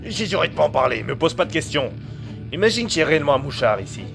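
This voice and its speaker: An actor playing a defensive, irritable fugitive agent who evades questions in an audio drama. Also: male voice, on the high side, big pitch swings, quick pace, vocal fry, over music. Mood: evasive. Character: defensive, touchy male fugitive agent with memory lapses.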